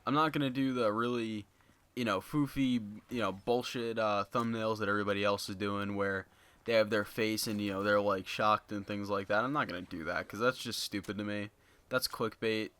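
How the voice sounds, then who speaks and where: A young man talking casually to his viewers in a flat, monotone voice, rambling with lots of fillers into a basic home microphone. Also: on the low side, moderate pace, clear, resonant tone. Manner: rambling, filler-heavy, many "you know"s. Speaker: gaming YouTuber. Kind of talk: casual unscripted commentary to viewers. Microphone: basic low-end home mic.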